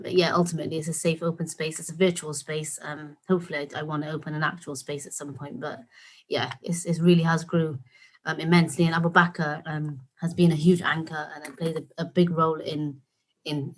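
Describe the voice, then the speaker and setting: A woman from talks earnestly over a video call, her voice sitting a little low. She hosts in a casual, unscripted way, circling back and restarting her sentences. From Wales. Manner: earnest, with frequent fillers and restarts. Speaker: host. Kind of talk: casual conversational introduction.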